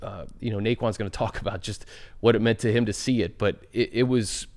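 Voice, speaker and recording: A man's voice: on the low side, fairly even intonation, fairly quick, darkish tone. A man hosting a sports show, talking football casually and steering the conversation.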